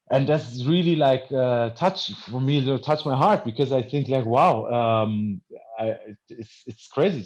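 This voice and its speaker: A man born in Iran who is more German than Iranian, a non-native English speaker and film director, answering interview questions earnestly and emphatically, with halting restarts. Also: on the low side, darkish tone. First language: not English. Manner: earnest, emphatic, halting with repeated word starts. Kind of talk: festival Q&A conversation. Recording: dull, lo-fi audio.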